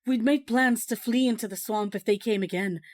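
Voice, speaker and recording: A woman's voice: fairly high pitch, fairly quick, natural voice. A woman playing the grave, resolute mayor of an embattled city in an audio drama, earnest and firm. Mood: grave resolve.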